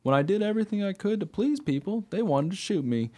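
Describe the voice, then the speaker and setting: A man's voice: high voice, animated, fast, warm tone. A man playing an honest pet dog who tells his own tale in a radio play, earnest and plain-spoken. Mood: wry, puzzled. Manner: plain-spoken, artless storytelling. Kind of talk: first-person radio play narration.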